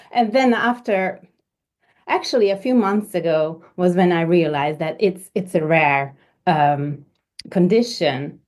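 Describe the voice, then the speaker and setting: Slow, soft-spoken woman from Hungary. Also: lowish voice. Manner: hesitant, many repeated words and fillers. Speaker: homeopath guest. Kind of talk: conversational interview.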